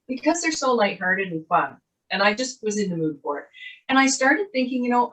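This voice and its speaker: A woman's voice: mid-range voice, fairly bright tone, low-quality recording. Chatty older woman telling her story. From Canada. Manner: chatty, rambling storyteller with asides. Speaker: guest author. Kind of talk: casual interview chat.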